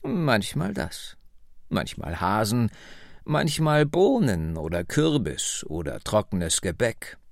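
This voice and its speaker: A man reading the lines of a wry, nearly hundred-year-old woman telling her family's story, slowly and slyly. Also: on the low side, big pitch swings, creaky, dry recording, clean studio sound, exaggerated voice. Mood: reminiscing. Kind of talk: radio book reading.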